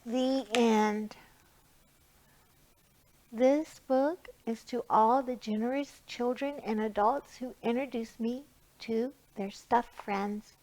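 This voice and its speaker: A woman's voice: on the high side, steady tone, takes it slowly, darkish tone, poor audio. A woman hosting a storytime for young children, explaining things simply and encouragingly. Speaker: library storytime host. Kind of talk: children's storytime presentation with read-aloud and singing.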